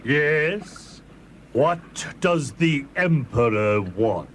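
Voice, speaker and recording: A man's voice: mid-range voice, big pitch swings, extremely slow, a little hiss or hum. A man playing a commanding magic flounder in a dramatised folk tale, with stern, emphatic theatrical delivery. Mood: solemn, inquiring. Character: magic male flounder, commanding, increasingly indignant talking fish.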